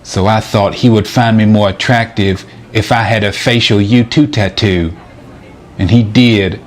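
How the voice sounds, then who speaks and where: A man's voice: on the low side, steady tone, measured pace, close to an everyday voice. A man playing a flustered, naive guy caught two-timing on a date, in a comedic dramatization. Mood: earnest. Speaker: actor in a sitcom-style dramatization. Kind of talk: acted comedic date dialogue.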